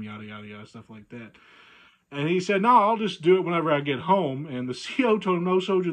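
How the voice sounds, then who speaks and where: Man telling a funny story casually. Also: on the high side. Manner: animated, acts out dialogue, chuckles at own story. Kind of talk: casual humorous anecdote telling.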